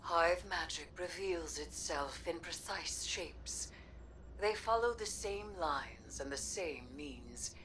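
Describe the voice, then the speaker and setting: An actress playing a solemn, cryptic witch in a video game, speaking deliberately, like an incantation, often near a whisper. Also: low-pitched, expressive, fairly bright tone, far from the mic, a little hiss or hum, exaggerated voice, rough recording. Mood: mysterious, reverent. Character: cryptic, solemn witch versed in dark magic. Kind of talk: dramatic video game narration.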